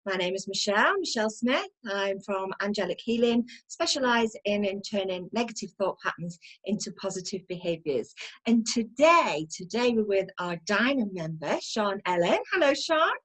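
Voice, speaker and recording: A woman's voice: medium pitch, animated, normal speed, fairly bright tone, low-quality recording. A woman hosting an interview over a video call, talking in an effusive, encouraging way. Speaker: host. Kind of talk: casual interview chat.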